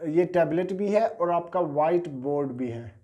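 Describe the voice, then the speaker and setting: Chatty man showing gadgets, mellow voice. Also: a little high, a little slow, dull audio. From Pakistan. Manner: chatty, hesitant, repeats fillers and sales catchphrases. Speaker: online gadget seller. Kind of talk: casual product unboxing. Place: small indoor studio at a table. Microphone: lapel mic.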